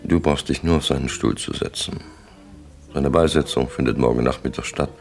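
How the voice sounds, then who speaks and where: A ruthless syndicate boss with an extremely low, creaky voice. He gives orders coolly and lectures like a businessman, in old radio-drama style, with faint music behind him. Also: moderate pace. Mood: cool, commanding. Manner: imperious, matter-of-fact, lecturing in clipped statements. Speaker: radio play actor. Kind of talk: 1960s German radio play acting.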